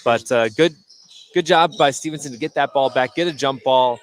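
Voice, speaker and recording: Guy doing color commentary on hoops, normal pitch, stumbles and repeats a bit, faint gym noise. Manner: halting, repeats words while thinking aloud. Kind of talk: unscripted sports analysis. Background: indoor gymnasium ambience.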